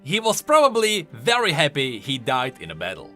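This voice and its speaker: A man's voice: on the high side, wildly swooping pitch, moderate pace, bright, forceful tone. A man giving emphatic, enthusiastic explanatory commentary on video game lore as a voice-over. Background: faint music.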